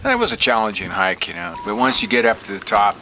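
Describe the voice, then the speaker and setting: A man on a desert hike, chatting in a relaxed way with frequent pauses. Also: fairly low pitch, a little hiss or hum. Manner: relaxed, hesitant with fillers. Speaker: hiking companion and ham radio operator. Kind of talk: casual outdoor chat.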